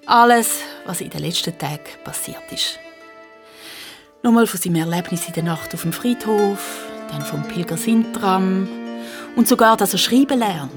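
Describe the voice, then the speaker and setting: A female narrator with a Swiss German accent tells a story for children. Her voice sits at a medium pitch and her delivery is vivid and conversational. Music plays clearly behind her.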